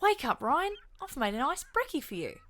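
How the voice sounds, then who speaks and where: A woman voicing an eager, slightly insecure young companion in an audio drama, expressive and a little hesitant. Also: sits high, normal speed, noisy background. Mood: chirpy.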